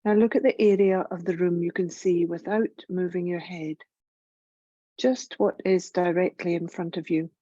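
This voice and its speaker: A woman guiding a yoga relaxation meditation in a gentle, soothing, measured way, leaving long pauses. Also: lowish voice, fairly even intonation, no room sound, muffled recording. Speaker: yoga nidra meditation guide.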